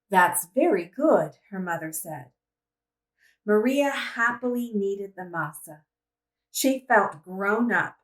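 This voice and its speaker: A woman reading a children's story aloud as narration, with expressive, deliberate phrasing. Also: mid-range voice. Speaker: storybook reader. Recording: roomy echo, distant microphone.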